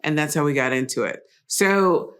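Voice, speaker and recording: A woman's voice: low-pitched, normal speed, fairly bright tone. A woman hosting an interview in a casual, conversational style. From Trinidad and Costa Rica background. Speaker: interview host.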